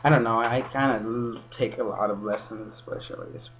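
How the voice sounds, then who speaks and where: Rambling young man, low voice, faint hum. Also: steady tone, brisk. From Kenya. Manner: rambling, many restarts and self-corrections. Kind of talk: casual chat.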